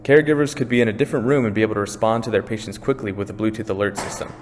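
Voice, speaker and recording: A man's voice: fairly low pitch, darkish tone. A young man giving a scripted slide presentation as a student. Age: young adult. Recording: reverberant room, faint background noise.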